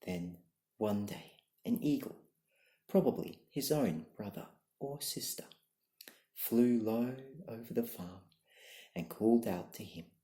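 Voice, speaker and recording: Slow, hushed ASMR storyteller, young adult. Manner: hushed, deliberate, gentle. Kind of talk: soft-spoken ASMR bedtime story reading with whispering. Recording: low-quality, echoey room recording.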